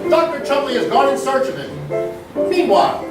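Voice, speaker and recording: Radio drama announcer reading scripted narration, big echoey hall, loud music. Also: high voice, lively intonation, brisk, recorded from a distance.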